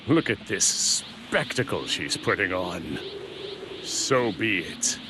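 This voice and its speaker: A man's voice: sits low, big pitch swings, drawn-out words, background noise, exaggerated voice. A man playing an arrogant, sadistic bio-android monster in an anime game dub, sneering and theatrical. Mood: mocking, menacing. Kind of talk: English anime game villain acting. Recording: very loud music and effects behind.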